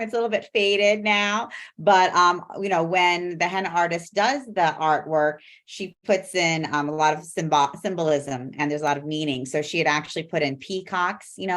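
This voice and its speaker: A woman's voice: sits low, a bit bright. A woman from Bangladesh co-hosting a show over a video call, chatting and explaining things casually. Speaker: co-host.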